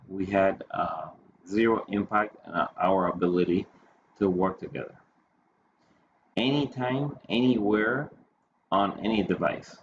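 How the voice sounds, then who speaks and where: Low-voiced man presenting over noisy audio. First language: Spanish or English, bilingual. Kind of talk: conference presentation. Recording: dull lo-fi audio, clear background noise.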